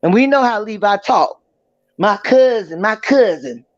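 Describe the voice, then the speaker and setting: High-voiced man on a dull line. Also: animated, measured pace. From US South. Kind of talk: casual opinionated panel commentary.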